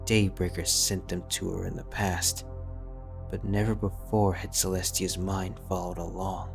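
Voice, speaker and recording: A man narrating a fanfic audiobook in a measured, grave storytelling manner. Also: low voice, little pitch movement, gravelly. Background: background music.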